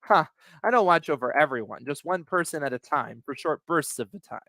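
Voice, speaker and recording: A man voicing a calm, measured emergency dispatcher in a dramatic reading of a call. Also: fairly high pitch, no echo. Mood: reassuring.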